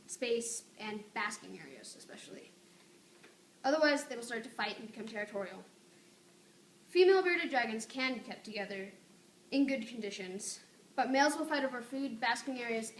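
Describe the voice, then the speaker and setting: A woman reading out a scripted informative speech, enunciating carefully and stressing key words. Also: on the high side. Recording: roomy echo, noticeable background noise.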